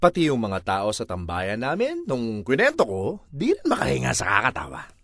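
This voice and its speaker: A man's voice: mid-range voice, wildly swooping pitch, moderate pace, a bit bright, no echo, clean studio sound. A man with a Filipino accent reading a first-person comic monologue in a theatrical, exasperated way. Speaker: voice-over reader of a comic monologue.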